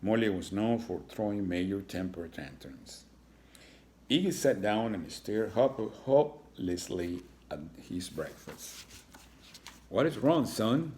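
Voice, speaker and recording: Man, lowish pitch, teacher read-aloud, storybook narration, informal asides, reassuring, addressing young children. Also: animated, measured pace, a little mellow, slight background noise. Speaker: teacher reading aloud to schoolchildren.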